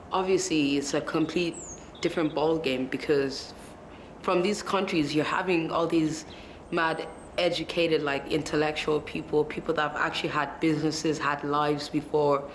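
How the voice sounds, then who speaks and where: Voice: mid-range voice, fairly even intonation, normal speed, a bit bright, echoey room, a little hiss or hum. A young adult from Zimbabwe giving a reflective account in a documentary interview. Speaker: documentary interviewee, former resident.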